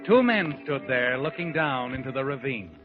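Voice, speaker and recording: An actor playing the scene-setting narrator of a 1940s radio mystery. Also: male voice, medium pitch, expressive, moderate pace, lightly acted. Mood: ominous storytelling. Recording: dull 1940s radio recording. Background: music.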